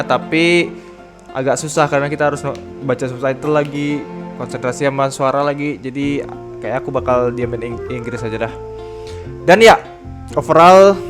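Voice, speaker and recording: A young Indonesian man with a slightly high voice doing gameplay commentary. He rambles informally in slang, with game music clearly audible behind him. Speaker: gaming YouTuber.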